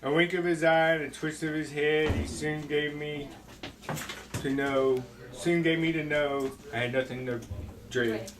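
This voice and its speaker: Amateur male reader, fairly high pitch, stumbling delivery, noisy household room. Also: fairly even intonation, unhurried. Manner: stumbles and repeats phrases. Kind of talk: reading a storybook poem aloud. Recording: clattering household noise behind.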